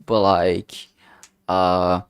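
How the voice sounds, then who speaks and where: Low-voiced man, casual halting streamer. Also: level tone, clean tone, no echo, studio quality. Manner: frank, heavily swearing, halting with self-corrections. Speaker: streamer, game developer. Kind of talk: casual rambling livestream chat.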